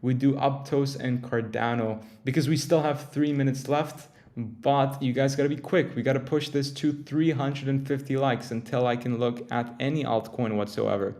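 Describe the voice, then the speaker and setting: Young male stream host, tired, chatty, breaks off mid-sentence, average pitch. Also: a little mellow. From Turkey. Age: young adult. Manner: rambling, self-interrupting, chatty with viewers. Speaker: crypto livestream host. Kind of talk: casual livestream chat.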